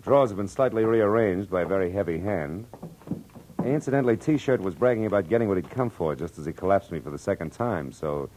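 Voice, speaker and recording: An American actor playing a wry, tough private detective in a 1940s-style radio drama, with clipped delivery and first-person narration. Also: male voice, low-pitched, lively intonation, brisk, a little mellow, a little hiss or hum, low-quality recording. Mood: brisk, wry. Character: hard-boiled, wisecracking male private detective narrating his case. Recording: old radio drama with music.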